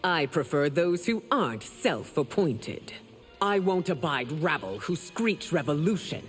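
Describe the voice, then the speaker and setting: An actress playing a proud, stern middle-aged warrior empress in a video game, speaking formally and with regal command. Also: female voice, low voice, very animated, unhurried, faint music behind. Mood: proud, defiant. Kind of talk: dramatic video game dialogue.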